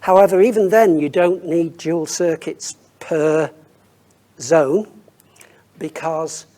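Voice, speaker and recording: A man's voice: fairly high pitch, fairly even intonation, slow, warm tone. A man speaking as an industry expert on a panel, explaining technical points informally and thinking aloud as he goes.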